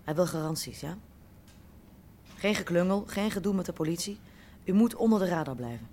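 An actress in a radio drama playing a careful, insistent, businesslike go-between for shady investors. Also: female voice, lowish voice, lively intonation, a little fast, dark tone, slight background noise, close to an everyday voice. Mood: warning.

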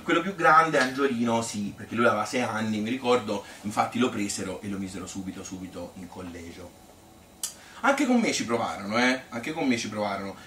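A man's voice: mid-range voice, a bit bright, reverberant, far from the mic, slight background noise. An actor playing an elderly Tuscan grandmother who reminisces in a rambling, repetitive, colloquial way, as a theatrical monologue. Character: elderly Tuscan grandmother Annunziata reminiscing about her hard childhood. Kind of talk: theatrical monologue, spontaneous spoken storytelling.

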